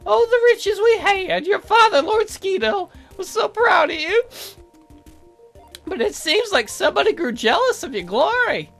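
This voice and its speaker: A man puts on a fussy little bird servant for a visual novel. The voice sits very high and swoops about, prim and formal, full of fawning devotion and ready indignation. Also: big pitch swings, a little slow, vocal fry, fairly bright tone, faint music behind, exaggerated voice. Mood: proud, fawning. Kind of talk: visual novel character voice-over.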